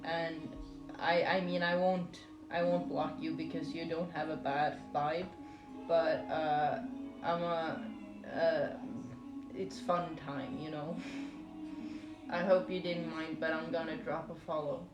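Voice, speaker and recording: Girl streamer chatting, kinda low voice, rambly and playful, music playing in an echoey room. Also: flat delivery, slow pace. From Norway. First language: Norwegian. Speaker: live streamer. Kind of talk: casual chat with stream viewers while crocheting. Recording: roomy echo with music behind.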